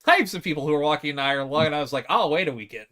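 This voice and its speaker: A man's voice: on the high side, lively intonation, very fast, bright, forceful tone. A man talking casually and emphatically about movies as a panelist on a podcast.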